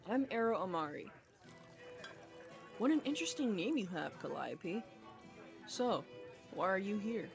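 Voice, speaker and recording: A man acting a courteous, guarded company boss in a fantasy audio drama, speaking in a measured way. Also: high-pitched, lively intonation, creaky, over music, exaggerated voice. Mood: guarded, polite. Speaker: voice actor in an audio roleplay.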